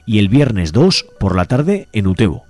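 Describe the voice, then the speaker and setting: A man with a deep, low voice reads out upcoming events on a community radio show. His delivery is easygoing and conversational, and he often ends his points with a questioning "right?". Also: measured pace, music in the background. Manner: relaxed, conversational, tags lines with a questioning "right?". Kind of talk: casual radio announcements.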